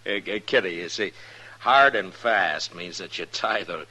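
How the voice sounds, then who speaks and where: Deep husky frontier lawman. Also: unhurried, bright, forceful tone, heavily acted. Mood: easygoing, thoughtful. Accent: American. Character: easygoing, watchful frontier lawman. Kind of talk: 1950s radio drama acting.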